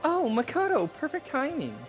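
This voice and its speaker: A woman's voice: sits high, very animated, moderate pace, music in the background. A woman reading visual novel dialogue aloud as a friendly, earnest teenage schoolgirl.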